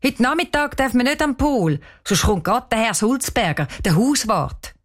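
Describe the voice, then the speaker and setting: A woman's voice: fairly high pitch, animated, moderate pace, pitched above the performer's own voice. A woman voicing a smug, laid-back boy in a children's audio story, talking in a casual, know-it-all way.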